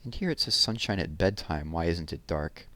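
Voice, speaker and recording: Low-voiced man reading to children. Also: no room sound. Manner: measured, expressive verse reading, explains things simply to young listeners. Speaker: read-aloud host. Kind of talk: reading children's poems aloud with casual asides.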